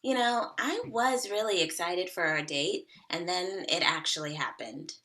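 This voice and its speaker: Comedy table read: woman as deadpan young date, unhurried, bright lowish voice. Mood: unimpressed.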